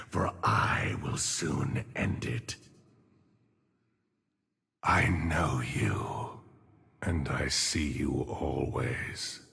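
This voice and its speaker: A grim, world-weary old necromancer from a video game, drenched in long reverb. He speaks extremely slowly in a gravelly rasp, low and solemn, brooding over the dead. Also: fairly bright tone, heavily acted. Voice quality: gravelly, husky, breathy. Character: weary, grim old male necromancer shepherd of lost souls. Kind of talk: video game character voice lines.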